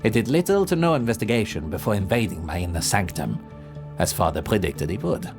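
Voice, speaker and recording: A man voicing a brooding, protective male vampire lord in an audio drama, smooth and lilting, sinking into low menacing murmurs. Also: lively intonation, brisk. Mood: calm, scheming. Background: soft background music.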